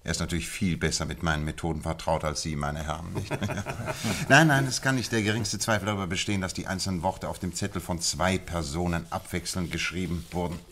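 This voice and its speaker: A confident detective in an old-school radio play, deep voice, precise, lecturing, very sure of himself. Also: male voice, fairly quick, hardly any pauses, fairly bright tone, a little hiss or hum. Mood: confident, instructive. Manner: lecturing, precise, self-assured. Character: confident, methodical detective explaining his deductions. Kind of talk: German radio play acting.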